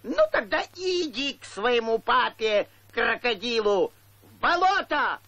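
A woman's voice: on the high side, sing-song, measured pace, fairly bright tone, dry recording. A woman voicing a stern, indignant father donkey in a children's cartoon, lecturing with mock formality. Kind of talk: animated children's cartoon acting.

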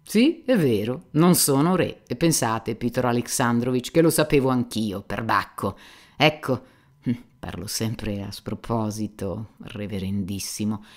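A man reading an audiobook, voicing a boastful aging buffoon who rambles loudly and theatrically about himself. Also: mid-range voice, unhurried, natural voice. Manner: loud, theatrical, rambling storytelling. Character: boastful aging male buffoon, self-mocking and garrulous.

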